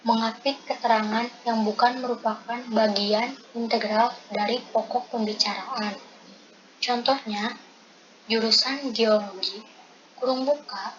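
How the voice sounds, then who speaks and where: A young woman reading out presentation material item by item as a student presenter. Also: fairly high pitch. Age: young adult. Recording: dull lo-fi audio with loud noise.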